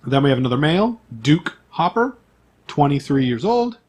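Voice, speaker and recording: Higher-pitched man running a tabletop game. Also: big pitch swings, normal speed, darkish tone. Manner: informal, list-like delivery with asides. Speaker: game master. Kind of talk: reading out character profiles for a tabletop game.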